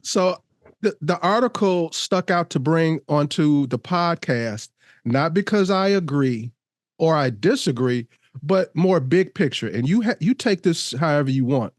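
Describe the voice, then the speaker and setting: A man's voice: a little high, expressive, measured pace, no room sound, studio quality. Congested man co-hosting a podcast. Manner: reads an article aloud, laughs often. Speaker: podcast co-host. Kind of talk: casual podcast chat over video call.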